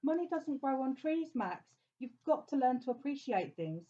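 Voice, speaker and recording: Exasperated mother, swooping and high. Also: sing-song, normal speed, dark tone, muffled recording. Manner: stern, reproachful. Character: exasperated scolding mother of a small boy. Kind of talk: children's audio play acting.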